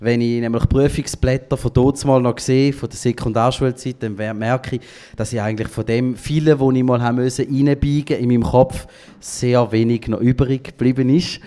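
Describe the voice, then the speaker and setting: A man's voice: mid-range voice, steady tone, normal speed, dark tone. A man with a Swiss German accent hosting a talk show, musing in loose conversational phrasing as he questions his guest. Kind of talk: casual interview talk.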